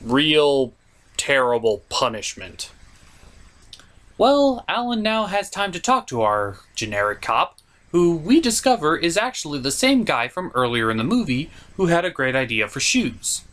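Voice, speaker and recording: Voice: medium pitch, sing-song, a little slow, a bit bright. A reviewer giving a scripted comedic movie recap in a sarcastic, mock-exasperated way. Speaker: host reviewer.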